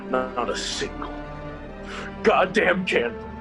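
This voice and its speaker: An actor playing a bitter, anguished war-haunted man in a fan-made drama, halting and repeating himself as he confesses. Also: mid-range voice, expressive, gravelly, music in the background. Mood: bitter, agitated. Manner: halting, repeating, breaking off words.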